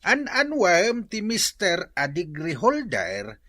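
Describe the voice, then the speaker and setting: A man's voice: high-pitched, huge pitch swings, slow, pushed and bright, dry recording, clean studio sound, heavily acted. A man acting a resigned working father in a radio drama, wry and chuckling.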